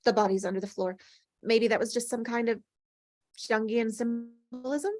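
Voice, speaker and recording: A woman's voice: a little high, fairly even intonation, normal speed, a little mellow, no room sound, soft background music, natural voice. Woman acting wry, melancholy older lady ghost. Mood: coaxing, sly. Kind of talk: acted table read of a TV script.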